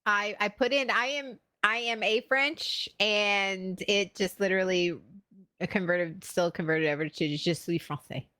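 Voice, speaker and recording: A woman's voice: mid-range voice, measured pace, pushed and bright, no echo. A woman co-hosting a livestream podcast in casual unscripted banter, with a giggly way of talking. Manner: giggly, laughs often.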